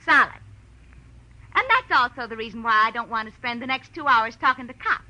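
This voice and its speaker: An American actress playing a scheming, defiant nightclub performer in 1940s radio noir, sassy and tough-talking. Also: female voice, fairly high pitch, lively intonation, brisk, sharp tone, dull audio. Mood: cool, menacing. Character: brassy, hard-edged female nightclub performer, scheming and defiant.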